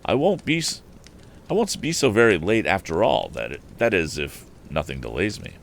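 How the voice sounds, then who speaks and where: A man's voice: sits low, sing-song, normal speed, gravelly, slight background noise, full character voice. A man voicing an earnest, eager young male inventor who thinks aloud in an old adventure storybook. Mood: hopeful.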